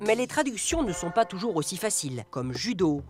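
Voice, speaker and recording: Lively woman explaining words on radio. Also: mid-range voice, fairly quick, music in the background. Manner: playful, explaining, quiz-like. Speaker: presenter of a language segment. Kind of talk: scripted educational radio segment.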